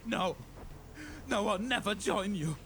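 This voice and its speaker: A man's voice: sits high, slow, a bit bright, slight background noise, voice pushed up. A man voicing a terrified but defiant guardsman in a horror audio drama, crying out in a strained voice. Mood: desperate defiance.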